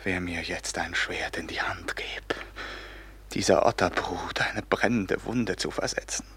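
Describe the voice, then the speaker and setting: A man's voice: sits low, a little slow, fairly bright tone, breathy edge, heavily acted. An actor playing an anguished, betrayed young man turned grim robber captain, declaiming with passion in a classic German radio drama. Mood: anguished rage. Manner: declamatory, impassioned, bursting from whispers into cries.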